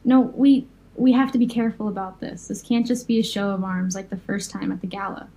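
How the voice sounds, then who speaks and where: Mellow, cautious supervillain played by a woman. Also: medium pitch, fairly even intonation, fairly quick, vocal fry, lightly acted. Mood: cautious, firm. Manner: careful, firm, earnest. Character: cautious, responsible young fire-powered supervillain. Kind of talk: audio drama dialogue.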